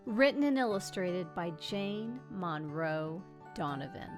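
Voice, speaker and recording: A woman reading a children's picture book aloud expressively, with gentle delivery and dramatic pauses. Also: fairly low pitch, lively intonation, music in the background. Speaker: storybook reader.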